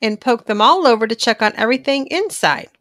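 A woman reading a children's storybook aloud in an expressive, animated narrating style for young listeners. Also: a little high, brisk, no echo, studio quality.

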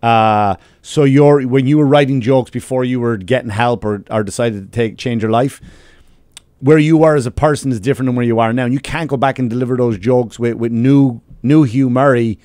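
Male comedian, Irish accent, quick and sweary, emphatic podcast chat, dry close-mic sound. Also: mid-range voice, a little mellow, no room sound. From Ireland. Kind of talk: casual podcast storytelling. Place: small podcast studio. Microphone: close studio microphone with headphones.

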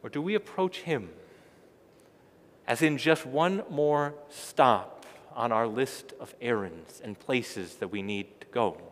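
A man's voice: medium pitch, slow. A middle-aged man preaching a sermon as a pastor, emphatic and repeating phrases as he swells and falls in volume. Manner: emphatic, repetitive phrasing, swelling loud then dropping soft. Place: large church sanctuary, indoors. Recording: long church reverb.

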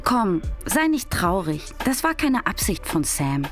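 A woman's voice: mid-range voice, very animated, measured pace, soft background music. A woman playing a tough but caring, teasing young girl in an audio drama. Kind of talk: audio drama dialogue acting.

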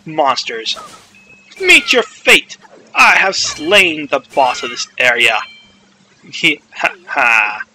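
A man performs a young adventurer boy in a comic game dub. He brags and panics in a bright, animated voice, narrating his own heroics with slow, dramatic delivery over music. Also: a little high, a little hiss or hum, voice pushed down, exaggerated voice, rough recording. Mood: sneaky, boastful. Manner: dramatic, exclamatory, self-narrating. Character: boastful, panicky young boy hero living out pretend adventures. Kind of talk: comedic video game roleplay dub.

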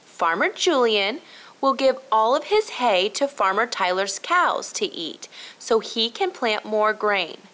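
A woman's voice: a little high, big pitch swings, slow pace, fairly bright tone, heavily acted. A woman voicing a helpful young girl in a children's storybook, explaining things earnestly. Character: bright, helpful young girl solving a mystery. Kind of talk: children's storybook read-aloud.

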